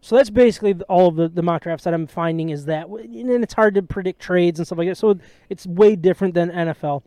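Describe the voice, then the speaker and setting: A man hosting a casual sports podcast, chatty and jokey, with a voice he calls high. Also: quick pace, few pauses, dark tone, no room sound. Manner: chatty, jokey, self-deprecating. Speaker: podcast host.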